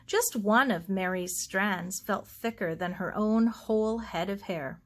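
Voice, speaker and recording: A woman's voice: medium pitch, unhurried. A woman narrating a children's novel aloud as a storyteller. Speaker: story reader.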